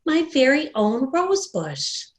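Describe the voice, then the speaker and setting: A woman voicing an earnest, proud, excited little girl in a storybook. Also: sits high, slow, pitched above the performer's own voice, heavily acted. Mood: awed. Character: eager, proud little girl who loves roses.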